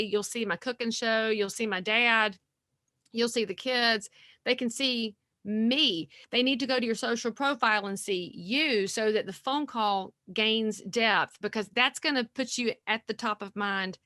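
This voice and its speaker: Bright, animated sounding woman. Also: on the high side, no echo, studio quality. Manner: energetic, folksy, animated storytelling. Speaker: guest realtor. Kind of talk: podcast interview.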